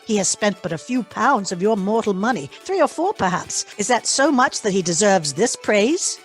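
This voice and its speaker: An actress playing a probing, enigmatic ghostly spirit in a theatrical radio drama. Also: female voice, medium pitch, expressive, moderate pace, soft background music, close to an everyday voice. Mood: wryly provoking.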